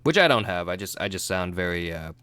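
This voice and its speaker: A man's voice: low-pitched, sing-song, fairly quick, pushed and bright. A young man with a cold, sounding nasal and congested, rambling casually through a product unboxing with many ums and restarts. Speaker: YouTube reviewer.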